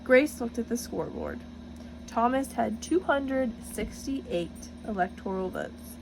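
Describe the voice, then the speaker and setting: Higher-voiced woman reading to children. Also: big pitch swings, measured pace, gravelly, background noise. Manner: encouraging, teacherly, addressing kids directly. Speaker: storybook reader for children, student teacher. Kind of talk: children's read-aloud with questions to the listeners.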